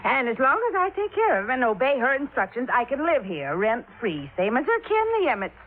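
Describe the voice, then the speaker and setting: Very animated fluttery older widow. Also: female voice, fairly high pitch, brisk. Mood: anxious, chatty. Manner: fluttery, gushing, folksy. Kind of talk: 1950s radio drama acting. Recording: narrow, noisy vintage radio recording.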